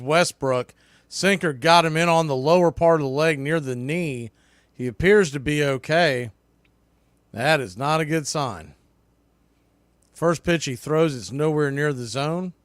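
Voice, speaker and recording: A man calling baseball play-by-play live, in clipped pitch-by-pitch phrases. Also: unhurried, a bit bright, clean tone, dry recording, clean studio sound. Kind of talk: live sports commentary.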